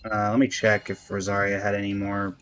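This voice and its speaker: A man's voice: on the low side, completely flat delivery, brisk, fairly bright tone, a little hiss or hum. A man giving casual gameplay commentary and reading dialogue choices aloud as he plays. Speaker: game streamer playing through a story quest. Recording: faint game music behind.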